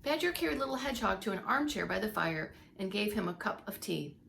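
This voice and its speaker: A woman's voice: medium pitch, expressive, fairly quick, creaky, fairly bright tone, a little hiss or hum. A woman reading a story aloud like a preschool teacher, with dramatic emphasis and asides to young listeners. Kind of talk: expressive read-aloud storytelling for young children.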